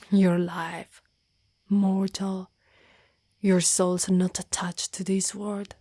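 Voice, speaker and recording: A woman voicing a devoted, lovestruck female dragon knight in human form, in an intimate, half-whispered ASMR roleplay with formal, halting phrasing. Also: mid-range voice, creaky, dark tone, slightly rough, no echo, clean studio sound. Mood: solemn, yearning. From Italy. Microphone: close microphone.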